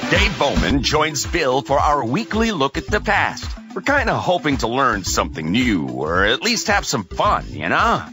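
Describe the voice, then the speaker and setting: A man's voice: medium pitch, huge pitch swings, measured pace, few pauses, music in the background. A man reading a produced radio promo as an announcer.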